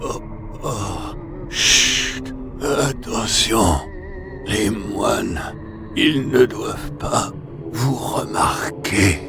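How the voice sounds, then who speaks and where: Broken but faithful captive monk for gothic game; very gravelly, slow, halting, solemn. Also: male voice, mid-range voice, over music. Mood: weak, pained. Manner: halting, solemn, suffering delivery. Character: tortured, blinded, devout captive monk, weary yet steadfast. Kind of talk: dramatic video game voice acting.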